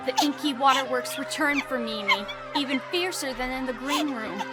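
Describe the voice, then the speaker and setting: A woman reading scripted storybook narration for an audio drama in an expressive, dramatic storytelling manner. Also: sits high, moderate pace. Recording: music behind the voice.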